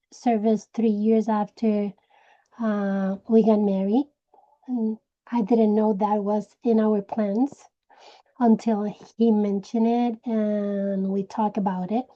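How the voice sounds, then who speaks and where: A woman from Puerto Rico with a Puerto Rican Spanish accent, Spanish her first language, telling her personal story slowly and searching for words. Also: medium pitch, muffled recording. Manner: slow, searching for words, many restarts. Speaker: direct sales director. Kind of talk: personal story told to a group.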